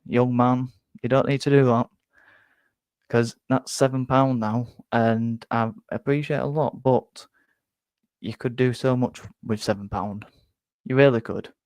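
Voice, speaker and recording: A man's voice: mid-range voice, a little slow, clean tone, dry recording. Rambling young Yorkshire man, dry close sound. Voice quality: bit nasal, flat. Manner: rambling, self-deprecating, stumbles and repeats words. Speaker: football fan streamer reading chat. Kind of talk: casual live-stream chat.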